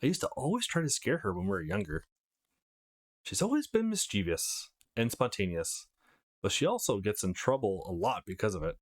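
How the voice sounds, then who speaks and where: A man's voice: mid-range voice, very animated, moderate pace. A man reading the part of a cocky but easygoing eighteen-year-old schoolboy in a visual novel, conversational and self-assured. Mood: reflective.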